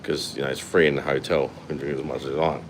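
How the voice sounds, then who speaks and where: A man with a London accent, his voice sitting very low, chats loosely through a livestream from a hotel room at night. He is blunt and swears freely while reading out comments, holding the phone at arm's length, with a faint air-conditioning hum behind him. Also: flat delivery, quick pace. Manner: blunt and sweary, laughs often. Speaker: livestream host. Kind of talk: casual live chat reading comments. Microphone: phone held at arm's length.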